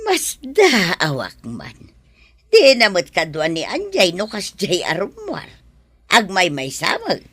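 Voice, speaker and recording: Woman acting a nosy, animated village gossip. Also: fairly low pitch, takes it slowly, breathy edge, dry recording, exaggerated voice. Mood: prying. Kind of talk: radio drama acting.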